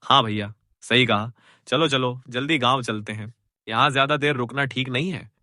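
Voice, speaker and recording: A man's voice: mid-range voice, normal speed, pushed and bright, a little hiss or hum, close to an everyday voice. A man voicing a gullible, greedy village labourer in a cartoon story, with an exaggerated, exclaiming delivery. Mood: agreeing. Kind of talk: Hindi cartoon moral story dialogue.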